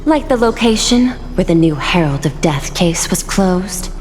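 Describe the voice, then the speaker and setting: A woman's voice: on the low side, animated, measured pace. A woman playing an analytical, reserved official in a game, with precise, formal, clinical delivery. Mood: cool, probing. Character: analytical, reserved female official speaking in probabilities. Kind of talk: scripted game dialogue. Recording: loud music under the voice.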